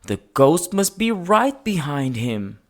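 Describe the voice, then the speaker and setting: A woman's voice: sits low, slow pace. A woman reading a children's story aloud, expressively and with dramatic emphasis. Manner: expressive, dramatic emphasis, sound-effect words. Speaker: storybook reader.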